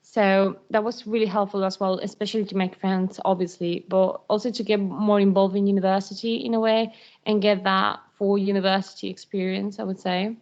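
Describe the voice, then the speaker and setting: A woman's voice: medium pitch, little pitch movement, fairly quick, darkish tone. A woman in her twenties from Spain, speaking informally as a student ambassador on an online call. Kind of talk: informal presentation on a virtual call. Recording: dull, lo-fi online call audio.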